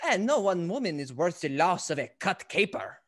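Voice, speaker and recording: A woman's voice: lowish voice, sing-song, normal speed, a bit bright. A woman playing a vain, foolish male fop in a classical stage comedy, with an affected, florid way of talking. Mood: self-satisfied, airy. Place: indoors at home, on a video call.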